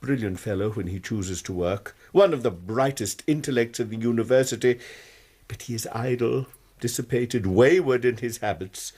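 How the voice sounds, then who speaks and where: A man's voice: medium pitch, moderate pace, darkish tone, breathy edge, lightly acted. A man playing an anxious, courteous university tutor in a radio drama, speaking hesitantly and precisely with an English accent. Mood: reluctant, disapproving.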